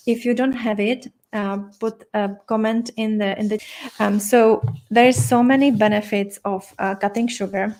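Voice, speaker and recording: A woman's voice: medium pitch, darkish tone, a little hiss or hum. A middle-aged woman with a Czech accent, leading a live online health class in a friendly way, with many fillers and restarts. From Czech Republic. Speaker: doctor and health coach hosting an online masterclass. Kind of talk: live-streamed teaching session.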